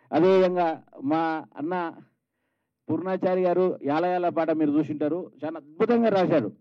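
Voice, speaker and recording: A man with a Telangana Telugu accent speaks from a stage in a fairly high voice. He addresses people by name in an informal speech of thanks. The sound is dry and somewhat muffled. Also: dry recording. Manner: calls people out by name, conversational. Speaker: film producer. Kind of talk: informal public thank-you speech at a film event. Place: film trailer launch stage.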